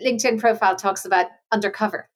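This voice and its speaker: A woman hosts a podcast with a mid-range voice. She reads a formal introduction and then asks her questions in a polite, measured way. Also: mid-range voice, fairly even intonation. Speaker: podcast host. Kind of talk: scripted intro and interview questions.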